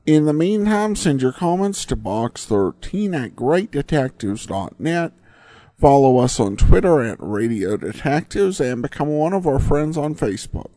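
A male podcast host talks in a casual, informative way with a lively rise and fall in pitch. His delivery is halting, with frequent ums as he goes, and the recording is close and dry. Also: no echo. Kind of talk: casual podcast commentary, reading and answering listener email.

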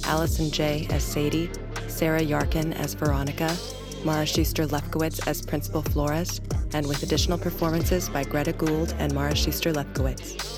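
A woman reading scripted credits as the announcer of a podcast. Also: deep voice. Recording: music under the voice. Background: music.

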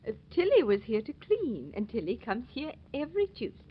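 An actress playing a fretful, forgetful old aunt in a 1940s radio drama, speaking in a hesitant, apologetic way. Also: female voice, fairly high pitch, sing-song, a little fast. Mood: flustered, earnest. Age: older. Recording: dull, noisy old 1940s radio recording.

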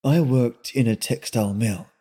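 A man reading aloud and voicing a sullen, weary young drifter who speaks in a low, rustic way. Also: moderate pace, warm tone, husky, natural voice. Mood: weary, somber. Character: sullen young male drifter, weary beyond his years.

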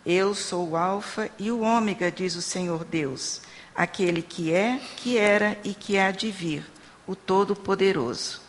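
A woman interpreting a sermon into Portuguese and reading scripture aloud in a steady, measured way. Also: medium pitch. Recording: long hall reverb with faint room noise.

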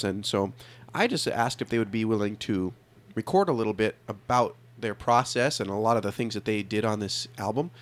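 A man hosting a podcast, introducing the episode in a casual, lightly scripted way. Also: on the low side. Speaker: podcast host.

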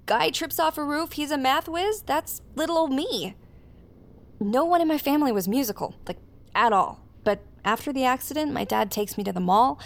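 A woman's voice: high voice, lively intonation, normal speed, gravelly. An actress playing a frank, playful woman in her twenties in naturalistic audio drama dialogue. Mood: animated storytelling. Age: 20s. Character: frank, quirky twenty-eight-year-old woman, a drummer.

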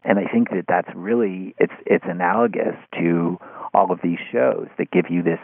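Low-voiced man on a tinny phone line. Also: vocal fry, husky, no room sound. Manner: halting, searching, repeats words. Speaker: interview guest. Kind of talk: conversational media commentary.

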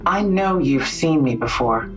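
A cool, needling policewoman in an audio drama. Her voice sits low, and she questions in a dry, accusatory way over light music. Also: expressive, measured pace, low-quality recording. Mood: cool, commanding.

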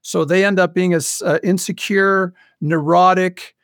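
A man being interviewed as a show creator, chatting casually in a halting way with wry, humorous asides. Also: high-pitched, fairly even intonation, a bit bright, dry recording, clean studio sound. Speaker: podcast guest, audio drama creator. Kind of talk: casual animated interview chat.

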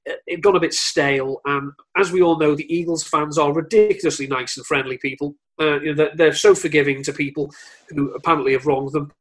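A man's voice: a little high, steady tone, fairly quick. A man chatting casually about sport on a podcast, with a wry, self-deprecating humour. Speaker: podcast co-host or guest.

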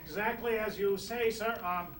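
A man's voice: high-pitched, fairly even intonation, moderate pace, natural voice. A man playing a hesitant, deferential betting clerk. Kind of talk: 1940s radio acting. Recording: roomy, distant old radio recording with music.

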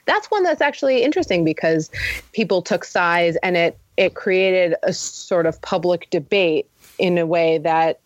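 Lower-voiced woman, casual podcast guest. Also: animated, moderate pace, highs cut off. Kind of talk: casual discussion.

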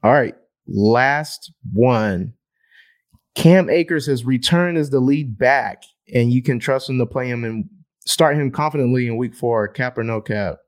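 A man's voice: medium pitch, unhurried. A man co-hosting a fantasy football podcast in casual chat, reeling off numbers and repeating words as he thinks. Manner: stat-heavy, repeats words while thinking.

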